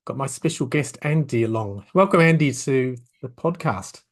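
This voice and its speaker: A man hosting a casual podcast interview, relaxed with some hesitant restarts. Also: medium pitch, moderate pace. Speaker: podcast host.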